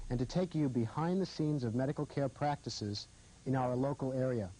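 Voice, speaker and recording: Male American physician-host, formal scripted TV narration, soft-edged mellow tone with some huskiness. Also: medium pitch, poor audio. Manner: formal, measured presenting.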